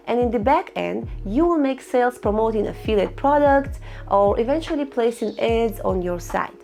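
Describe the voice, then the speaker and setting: Female tutorial host, fairly high voice, instructional and a bit cheeky, soft music bed. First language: Russian. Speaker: online income tutorial host. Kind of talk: screen-recorded tutorial voice-over.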